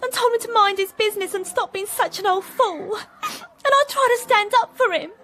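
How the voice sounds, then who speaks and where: An actress playing a timid, modest, downtrodden young woman in a period radio drama, meek and soft-hearted. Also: very high voice, moderate pace. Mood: tearful. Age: young adult.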